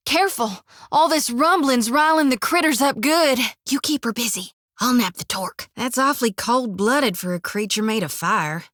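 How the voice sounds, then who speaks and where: An actress voicing a young, blunt, priestess in a video game, with a drawl and a teasing, devout manner. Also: female voice, high-pitched, a bit bright, no room sound. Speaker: game companion. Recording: dry studio recording.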